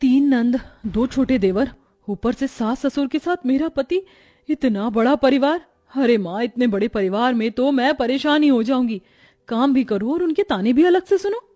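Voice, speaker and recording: A woman's voice: high-pitched, expressive, moderate pace, dark tone. A woman voicing an overworked young new bride in a comic family story, grumbling yet polite. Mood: weary, grumbling. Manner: complaining to herself, dutifully polite to elders.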